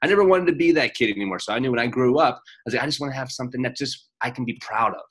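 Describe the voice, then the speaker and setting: A man's voice: mid-range voice, racing, a bit bright, breathy edge. A man in his late twenties in casual conversation, blunt and rambling, swearing freely as he makes his points. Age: 20s. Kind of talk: casual podcast chat.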